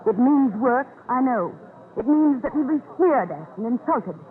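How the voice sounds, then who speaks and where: An actress playing a determined, indignant campaigner for women's rights in an earnest, oratorical 1930s radio drama style. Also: female voice, high-pitched, expressive, a little slow, phone-quality sound. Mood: indignant, resolute. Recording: noisy, phone-thin 1930s radio recording.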